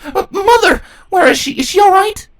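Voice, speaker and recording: Man playing an anxious young pony princess, very high-pitched. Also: animated, moderate pace, a bit bright. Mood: alarmed. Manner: earnest, urgent.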